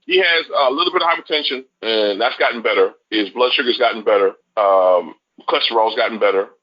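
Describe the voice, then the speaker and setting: A man's voice: lowish voice, quick pace. A man giving a casual customer testimonial as a call guest, folksy and colloquial, over a lo-fi phone line.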